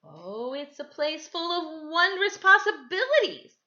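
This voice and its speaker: Storybook schoolteacher, played by a woman reader: kindly, encouraging, bright and animated, slightly dull recording. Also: very high-pitched, a little slow, pitched above the performer's own voice, heavily acted. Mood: wondering. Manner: tender, wistful, emphatic.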